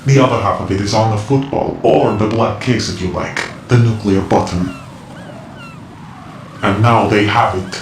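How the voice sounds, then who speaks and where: An actor playing a mysterious, cryptic male informant in a video game cutscene, speaking in a measured, lecturing way. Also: fairly low pitch, fairly even intonation, a little hiss or hum, lightly acted. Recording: roomy game mix with loud music.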